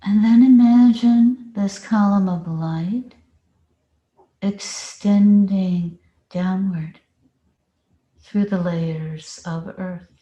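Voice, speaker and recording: A woman leads a guided meditation in an extremely unhurried way, leaving long pauses between phrases. Her tone is mellow and relaxed, and the room around her adds a clear echo. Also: mid-range voice. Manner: slow, deliberate instructing with long pauses. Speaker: meditation teacher. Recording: roomy, echoing space.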